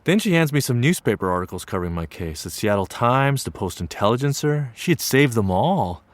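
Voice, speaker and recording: An actor narrating as an earnest young student, telling his story in an earnest, wry and lively way. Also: medium pitch, fairly quick, no room sound, lightly acted. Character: earnest young Japanese American male student. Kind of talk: one-man stage play narration.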